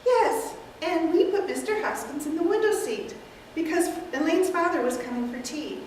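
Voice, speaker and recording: A woman reading the part of a sweet, genteel elderly aunt, gentle and matter-of-fact, in an echoey room far from the mic. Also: very high voice, animated, normal speed, slight background noise, voice pushed up. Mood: placid.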